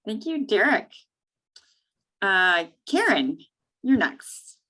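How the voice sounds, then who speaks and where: Bright-voiced woman hosting an online panel. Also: mid-range voice, very animated, extremely slow. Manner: friendly, chatty, with fillers. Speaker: host, panel moderator. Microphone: video call.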